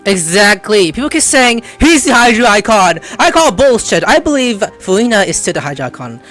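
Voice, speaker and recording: A woman's voice: mid-range voice, big pitch swings, a little fast, a bit bright. A woman giving casual, opinionated game commentary, sometimes reading dialogue aloud and swearing freely. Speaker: gameplay commentator. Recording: game music faintly behind.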